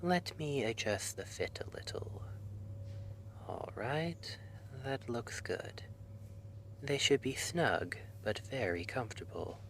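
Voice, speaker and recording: Man playing a slow, soft-spoken dream clinician. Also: medium pitch, big pitch swings, vocal fry, heavily acted. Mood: soothing, attentive. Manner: soft-spoken, slow, soothing, often dropping to a whisper. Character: gentle, reassuring male dream-institute clinician guiding a trial patient. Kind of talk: ASMR roleplay.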